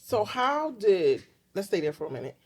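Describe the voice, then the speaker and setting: A woman's voice: medium pitch, sing-song, moderate pace, husky. A woman hosting a casual talk show, asking blunt and playful questions with emphasis. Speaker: host.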